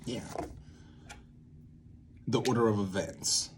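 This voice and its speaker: A man's voice: fairly low pitch, expressive, takes it slowly, a little hiss or hum. A man giving an informal, improvised tutorial, rambling playfully and thinking aloud with asides to himself. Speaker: theatre maker presenting a creative method series.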